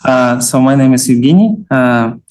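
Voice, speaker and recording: A man's voice: mid-range voice, lively intonation, moderate pace, darkish tone, clean tone. Hesitant Russian-accented man. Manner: hesitant, searching for words. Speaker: clinical psychologist, lecture attendee.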